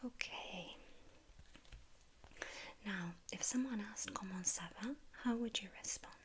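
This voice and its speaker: A woman whispering a gentle ASMR French lesson, patient and encouraging, repeating each word slowly. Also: medium pitch, slight background noise. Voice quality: soft whisper. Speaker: French tutor.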